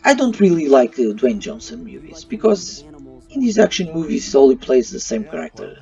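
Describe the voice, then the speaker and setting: A man's voice: fairly high pitch, very animated, moderate pace, vocal fry, darkish tone. A man giving an opinion review in voice-over, with non-native English phrasing. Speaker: YouTube movie reviewer. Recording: muffled, slightly distant mic. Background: faint music.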